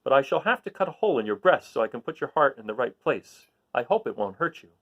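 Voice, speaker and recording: A man's voice: mid-range voice, sing-song, fast talker, phone-quality sound. A man voicing a little humbug wizard in a storybook, courteous and reassuring.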